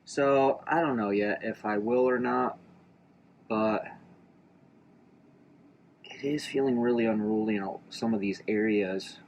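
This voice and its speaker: A man vlogging about his shaving routine in a relaxed, rambling way with lots of fillers, a little stuffed up and nasal. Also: fairly low pitch, unhurried, pauses often, highs cut off, a little hiss or hum. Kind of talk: casual chat to camera. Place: inside an RV.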